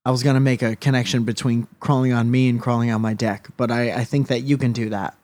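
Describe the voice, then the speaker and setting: A man's voice: medium pitch, fairly even intonation, fast, a little mellow, dry recording. A man co-hosting a podcast, chatting casually in a playful, rambling way and swearing now and then. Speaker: podcast co-host. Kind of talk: casual banter.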